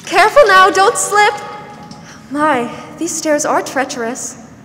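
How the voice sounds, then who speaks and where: A young woman playing a warm, pleasant, fussing woman of sixty in a 1940s-style radio drama on stage. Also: high voice, animated, slow, exaggerated voice. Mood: fussing, cautious. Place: large theatre stage, indoors. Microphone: stand microphone, masked. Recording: echoey stage hall, faint noise.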